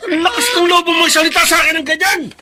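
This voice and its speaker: A man's voice: very high-pitched, fast talker, raised voice, heavy background noise. A man playing a harsh, hot-tempered father in a radio drama, accusing and scornful. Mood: furious.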